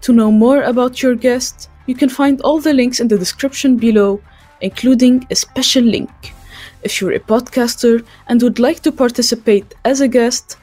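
High-voiced woman hosting over music. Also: fairly even intonation, moderate pace, a little mellow. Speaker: podcast host. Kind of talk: scripted podcast hosting.

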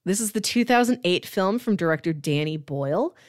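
A woman co-hosting a podcast in a casual, informal chat, describing things in a conversational and animated way. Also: lowish voice, fairly quick, no echo, clean studio sound. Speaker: podcast co-host. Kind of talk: casual chat, pitching movies.

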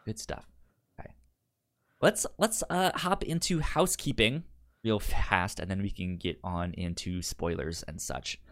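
A man hosting a podcast in casual review chat, speaking haltingly with stammered, repeated syllables. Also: sits low, a bit bright. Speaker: podcast host.

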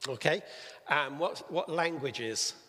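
A man with a fairly high voice leads a church gathering, preaching and then gently teasing the woman he interviews. He is a little halting, and the hall gives his voice a long reverb. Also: lively intonation. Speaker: church leader interviewing on stage. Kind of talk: sermon-style talk and interview.

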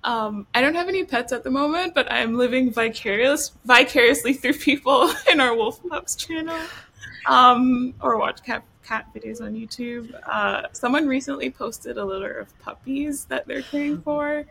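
A woman from Ontario talking in a casual group chat as a roundtable panelist, thoughtful and soft-spoken, with easy giggles. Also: high-pitched, moderate pace, gravelly, breathy edge. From Ontario, Canada.

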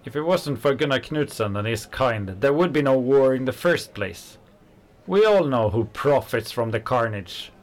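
An actor playing an angry working-class kitchen worker, a fiery socialist who talks in heated, scornful bursts. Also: male voice, mid-range voice, animated, normal speed, lightly acted. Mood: impassioned. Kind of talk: radio play acting.

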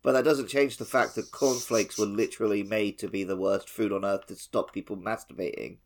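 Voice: sits low, steady tone, brisk, darkish tone. A podcast co-host chatting casually and conversationally about a video game.

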